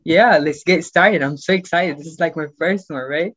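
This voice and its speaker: A man's voice: on the high side, moderate pace, a bit bright, dry recording, dull audio. A teenage young man hosting a casual podcast chat in an informal, eager way. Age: teens. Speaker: podcast host.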